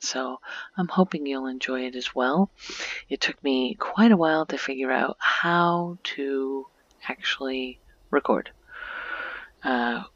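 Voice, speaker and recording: A woman giving a soft-spoken ASMR game tour in a gentle, informal, hushed voice that often slips into a whisper, on a muffled home recording. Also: rumbling low, creaky, husky, no echo. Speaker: ASMR video creator and gamer.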